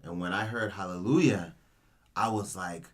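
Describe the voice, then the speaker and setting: A man's voice: low voice, moderate pace. Rambling young man from Cameroon. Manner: rambling, peppered with "you know" and "right?". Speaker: interview guest, media creator. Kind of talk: casual storytelling chat.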